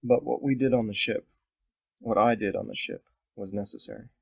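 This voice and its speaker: A man's voice: medium pitch, fairly even intonation, quick pace, vocal fry, a bit bright, dry recording, lo-fi sound. A man voicing a stern female soldier who speaks formally and in clipped phrases. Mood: grave.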